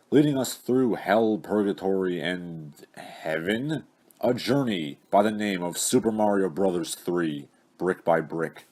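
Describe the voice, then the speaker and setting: A man's voice: low voice, darkish tone, breathy edge, no echo. A man narrating an audiobook in a pompous, theatrical manner with grandiose diction. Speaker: audiobook narrator, video host. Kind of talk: scripted audiobook reading with introduction. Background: classical orchestral music.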